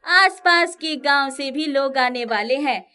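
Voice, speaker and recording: A woman's voice: high voice, a little slow, sharp tone. A woman playing a friendly, bossy village neighbour in a storybook cartoon, giving instructions emphatically. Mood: friendly, instructing.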